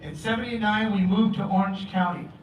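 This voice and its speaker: A man's voice: high voice, a little fast. A man in his fifties who grew up in Vietnam and speaks Vietnamese as his first language, giving an earnest, grateful speech at a memorial ceremony. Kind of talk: prepared public speech. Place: aboard a museum ship, outdoors. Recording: echoey, distant, dull PA sound, noisy.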